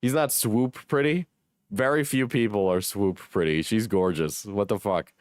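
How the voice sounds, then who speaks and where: A hoarse young man with a cold, joking and swearing casually as he reads his chat on a livestream. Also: on the low side, measured pace, no room sound, studio quality. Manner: joking, self-mocking, swears. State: hoarse, sick. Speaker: streamer reading chat.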